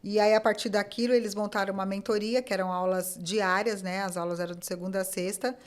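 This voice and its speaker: A woman's voice: mid-range voice, fast. Chatty woman telling stories. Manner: animated, acts out quoted dialogue, many fillers. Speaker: podcast guest. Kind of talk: casual interview storytelling.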